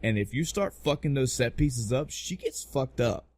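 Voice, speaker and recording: A man's voice: medium pitch, expressive, normal speed, darkish tone, dry recording. Sweary, emphatic male podcast host, dry sound. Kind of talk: casual podcast chat about video game movies.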